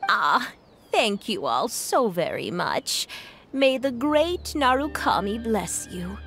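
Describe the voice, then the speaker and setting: An actress playing a kindly, devout old grandmother in an anime game, speaking gently and gratefully. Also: on the high side, sing-song, takes it slowly, soft background music, heavily acted. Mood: grateful, gentle. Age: older. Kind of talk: English-dubbed anime game voice acting.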